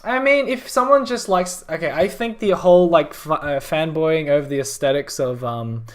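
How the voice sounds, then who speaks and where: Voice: medium pitch, normal speed. A live-stream host chatting casually over an online call, laughing often and hedging with a lot of fillers and restarts. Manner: giggly, filler-heavy, hesitant.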